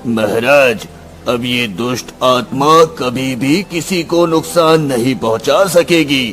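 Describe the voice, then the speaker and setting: A man acts out a calm, devout old sage in a cartoon, who chants his prayers and speaks solemnly. He talks extremely slowly at a middle pitch, with faint music underneath.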